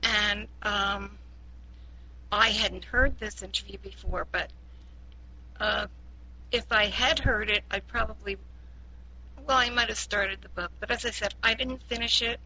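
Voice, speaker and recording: A woman casually giving her opinion in a book group, hesitant and pausing often. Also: medium pitch, steady tone, pushed and bright, husky, low-quality recording.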